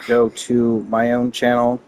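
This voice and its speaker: A man's voice: mid-range voice, monotone, a little slow, a little mellow, slight background noise. A man chatting casually on a game livestream, rambling and cutting himself off with mildly exasperated, slangy grumbling. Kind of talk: casual rambling stream chat.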